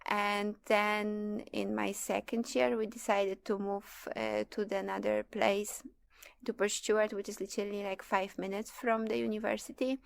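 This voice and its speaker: A woman's voice: medium pitch, level tone, normal speed, gravelly. A woman in her twenties from Poland, a native Polish speaker with a Polish accent, telling her own story as an interview guest. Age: 20s. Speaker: interview guest, PhD student. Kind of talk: conversational interview, personal storytelling.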